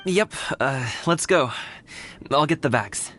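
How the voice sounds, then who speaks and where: A man's voice: fairly high pitch, expressive, takes it slowly, fairly bright tone, slight background noise. A man playing an earnest, humble young man in a video game, casual and sincere. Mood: subdued. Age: young adult. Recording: music behind the voice.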